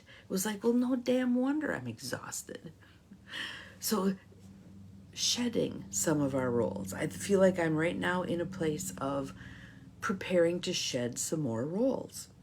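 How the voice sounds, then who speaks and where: A woman's voice: sits low, darkish tone, breathy edge. A middle-aged woman life coach hosting a live talk, reflective and encouraging, greeting viewers by name.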